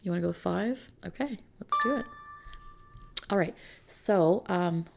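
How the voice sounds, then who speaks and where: A woman doing an ASMR roleplay as a customization consultant, talking softly and unhurriedly to a customer in a gently explanatory, reassuring way. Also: fairly low pitch, unhurried, creaky, noisy background. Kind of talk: ASMR roleplay, soft customer-service talk.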